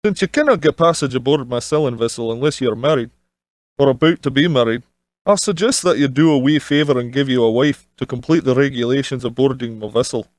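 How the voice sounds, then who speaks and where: A man voicing a crafty, smooth-talking Scottish sea captain in an audio storybook, with wheedling salesman's patter. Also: mid-range voice, fairly quick, no room sound, close to an everyday voice. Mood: sly, persuasive. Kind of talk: audiobook character dialogue.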